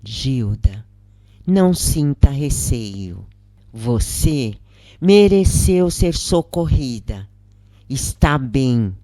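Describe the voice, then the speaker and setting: A Brazilian woman voicing a gentle, wise elderly mother in a story, soft, soothing and solemn. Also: a bass voice, big pitch swings, extremely slow, dry recording, exaggerated voice. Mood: reassuring.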